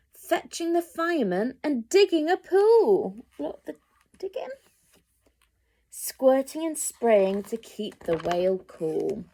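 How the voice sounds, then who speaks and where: Animated woman reading a children's story. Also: fairly high pitch. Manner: deliberate, dramatic emphasis, addressing young listeners. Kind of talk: expressive picture-book read-aloud.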